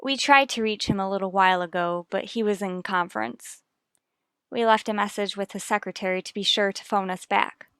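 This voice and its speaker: A woman playing a worried, firm mother with a measured, formal manner in a radio soap opera. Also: mid-range voice, a bit bright, clean tone, no echo, close to an everyday voice. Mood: composed.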